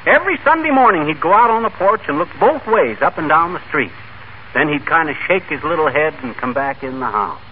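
Folksy wisecracker, middle-aged husband, 1940s radio comedy, phone-thin sound, clear background noise. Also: male voice, fairly high pitch, very animated, fairly quick. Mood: boastful, folksy. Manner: folksy, slangy, wisecracking delivery. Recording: noisy, tinny old radio recording.

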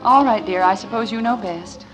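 An actress playing a middle-aged, soothing, gently teasing wife and mother in a vintage 1950s radio comedy. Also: mid-range voice, normal speed, music in the background, lightly acted, poor audio. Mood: placating. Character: mild, gently needling suburban wife and mother. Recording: vintage radio broadcast recording.

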